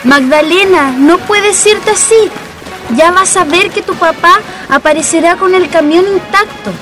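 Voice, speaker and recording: A young Chilean girl in a radio play, a loyal and cheeky friend. Her voice is very high and reassuring, with music playing beneath. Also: unhurried. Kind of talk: radio drama acting. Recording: music under the voice.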